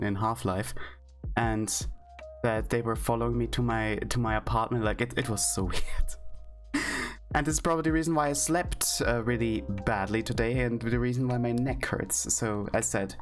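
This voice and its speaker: Very deep-voiced woman. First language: German. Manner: thinking aloud, frequent fillers and self-corrections. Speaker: streamer teaching Esperanto. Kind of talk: casual live-stream language lesson.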